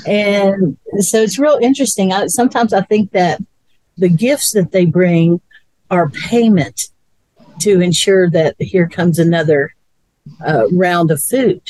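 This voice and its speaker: A woman muses in a slow, unhurried way, often repeating herself as she works out her thoughts. Her voice sounds close and dry, with no echo. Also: on the low side, darkish tone. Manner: musing, repeats words while thinking. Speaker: co-host. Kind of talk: casual chat.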